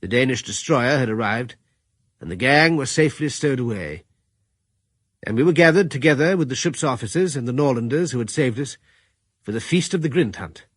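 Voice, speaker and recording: A man playing a middle-aged retired general who narrates his adventure in a radio drama, measured and reflective. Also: medium pitch, animated, breathy edge, no echo, studio quality. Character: Richard Hannay, retired British general narrating.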